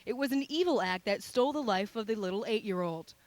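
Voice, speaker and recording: A woman's voice: mid-range voice, animated, quick pace, darkish tone, no echo. An American woman reporter reading scripted narration for a television news package.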